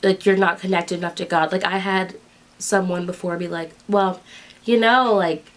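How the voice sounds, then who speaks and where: A twenty-three-year-old woman speaking candidly in an interview, growing animated when she recounts what others have said. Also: fairly low pitch, moderate pace.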